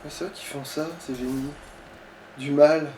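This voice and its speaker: A man's voice: on the high side, steady tone, moderate pace, a little mellow. A man chatting casually as he plays a game, sweary, grumbling and sarcastic. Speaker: gamer playing on a let's play. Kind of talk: casual gameplay chat. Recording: distant mic, background noise.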